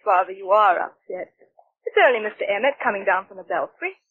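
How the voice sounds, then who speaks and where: An actress playing a vicar's calm, practical young daughter in a 1940s radio drama, soothing and a little breathy. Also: female voice, mid-range voice, fairly quick, no echo, muffled recording. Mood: reassuring. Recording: dry, thin old radio recording.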